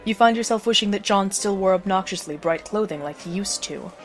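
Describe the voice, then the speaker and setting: A woman's voice: mid-range voice, brisk, soft background music. A woman narrating a scripted story as the narrator of an audio drama.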